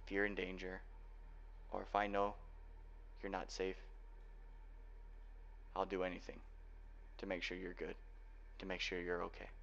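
Low-voiced protective young hacker boyfriend, acted by a man. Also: completely flat delivery, vocal fry, fairly bright tone, full character voice. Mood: earnest, tender. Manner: earnest, halting delivery with sighs. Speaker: ASMR roleplay performer. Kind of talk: ASMR boyfriend roleplay, dramatic monologue. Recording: dull lo-fi home recording, faint noise.

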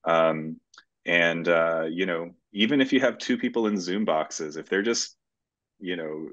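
Low-voiced man on a dull video call. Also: measured pace, fairly bright tone. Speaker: screenwriter being interviewed. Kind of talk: conversational video-call interview. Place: indoors in a plain room, on a video call. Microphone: computer video-call microphone.

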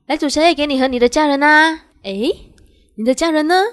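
A woman's voice: sits high, brisk, natural voice. A young woman acting in a radio drama as a sweet, devoted girlfriend who is easily wounded. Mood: chirpy, casual. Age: young adult.

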